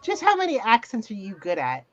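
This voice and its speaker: A woman's voice: on the high side, huge pitch swings, brisk. A woman chatting casually as co-host of a talk stream, with a giggly manner. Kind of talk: casual chat. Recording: muffled lo-fi stream with music underneath. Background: music.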